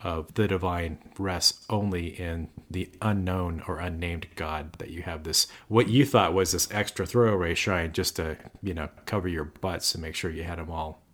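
A man's voice: low-pitched, fairly even intonation, moderate pace, hardly any pauses, a bit bright. A man co-hosting a casual faith podcast, explaining scripture at length with joking asides. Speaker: podcast co-host.

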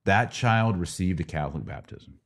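A man's voice: low-pitched, expressive, normal speed. A middle-aged American man hosting a conversational Q&A podcast, explaining things didactically and checking in with rhetorical questions. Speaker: podcast host answering religious questions. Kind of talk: conversational Q&A teaching.

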